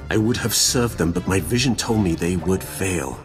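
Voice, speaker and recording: A very low-pitched, ancient Sith lord from a video game, proud and grim. He speaks formally and solemnly, with cold disdain, over background music. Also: animated, normal speed. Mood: solemn recounting. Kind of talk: dramatic video game voice acting.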